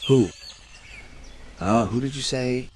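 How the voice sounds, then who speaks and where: A man's voice: mid-range voice, slow pace, darkish tone, a little hiss or hum, lightly acted. An old man played by an actor in a radio drama, amiable but muddled and wistful. Faint outdoor ambience with birdsong sits behind his voice. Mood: confused, plaintive. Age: older. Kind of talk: radio play acting.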